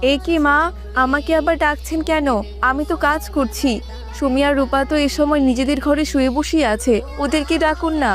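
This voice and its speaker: A woman's voice: high-pitched, fairly even intonation, normal speed, over music, voice pushed up, close to an everyday voice. A woman voicing a dutiful, overworked eldest daughter-in-law in a family cartoon, fretting in a plaintive way. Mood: mildly protesting. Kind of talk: Bengali family cartoon voice acting.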